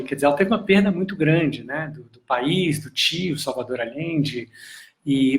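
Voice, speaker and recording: Male meditation instructor, storytelling on a live stream, halting and full of fillers, echoey room. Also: medium pitch, vocal fry. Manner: hesitant, many fillers and restarts.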